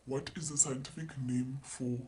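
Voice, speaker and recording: A woman putting on the voice of a male university lecturer, speaking in a flat, dry lecturing way. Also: a bass voice, normal speed, pitched below the performer's own voice, low-quality recording. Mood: matter-of-fact. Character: male university lecturer, calm and matter-of-fact.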